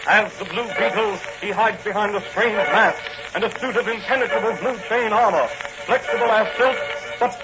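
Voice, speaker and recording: Casting: male announcer for 1940s radio serial, dramatic narration, fairly high voice, dull old transcription sound with music behind. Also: normal speed, long pauses.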